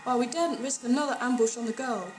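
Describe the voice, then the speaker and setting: Village resistance chief, female actor: high, stern, formal delivery, echoey sound with music behind. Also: quick pace, lightly acted. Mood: resolute. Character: grave, resolute female village rebel leader. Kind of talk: audio drama acting.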